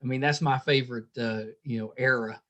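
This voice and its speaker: A man's voice: medium pitch, fairly even intonation, a little fast, a bit bright. A man hosting a podcast, chatting casually as he interviews musical guests. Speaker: podcast host interviewing a band.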